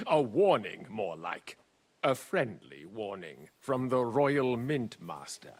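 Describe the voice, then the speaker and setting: An actor playing a pompous, mock-polite and menacing royal official, a villain in a medieval video game. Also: male voice, mid-range voice, huge pitch swings, slow, a bit bright, breathy edge, full character voice. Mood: threatening. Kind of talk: dramatic video game acting.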